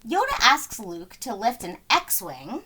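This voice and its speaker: A woman reading a children's story aloud in an expressive, dramatic way, with deliberate phrasing and stressed words. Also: a little high. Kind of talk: expressive scripted read-aloud narration.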